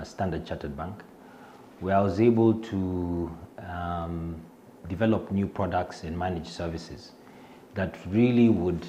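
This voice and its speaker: A middle-aged man with a Kenyan accent talks about himself in an interview, his voice very low. He pauses often with fillers and restarts his sentences, chuckling a little at himself. A faint hum of noise sits under the recording. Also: mellow. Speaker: fintech executive. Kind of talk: self-introduction in an interview.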